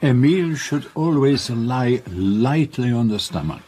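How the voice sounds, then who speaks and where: Radio drama casting: precise, wry, middle-aged Belgian sleuth, French-speaking accent, mid-pitched, formal delivery, slight noise behind. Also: male voice, lively intonation, slow, darkish tone. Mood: courteous, measured.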